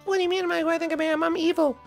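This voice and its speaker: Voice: very high-pitched, little pitch movement, very fast, gravelly, soft background music, pitched above the performer's own voice. A performer voicing a confused, whiny male dinosaur who stammers and spooks easily, in a homemade toy show. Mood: squeaky, indignant. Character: confused male dinosaur brainwashed into thinking he's evil.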